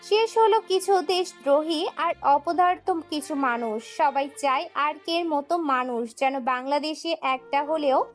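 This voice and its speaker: A woman with a very high voice narrates a dramatic audio story from a script. Soft music runs behind her narration. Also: unhurried, few pauses.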